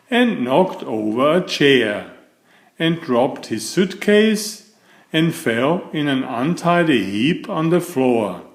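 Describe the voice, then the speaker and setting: A man narrates a children's storybook with measured expression. His pace is unhurried and his intonation lively. The room around him adds a clear echo. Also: mid-range voice. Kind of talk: children's storybook read-aloud. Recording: roomy, echoing sound.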